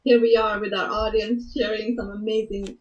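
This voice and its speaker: A woman from Bosnia tells her personal story as a guest, earnest and emotional and softly spoken. She sits some distance from the mic in a roomy space. The sound is dull and muffled. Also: mid-range voice, level tone, normal speed, soft background music. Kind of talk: personal story on a talk show.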